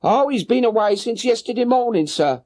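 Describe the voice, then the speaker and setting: A man's voice: very high-pitched, animated, moderate pace, darkish tone, voice pushed up. A man voicing a chatty, flustered working-class London boatman's wife for an audiobook. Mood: worried, puzzled. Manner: chatty, deferential, plain-spoken. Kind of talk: audiobook character voice.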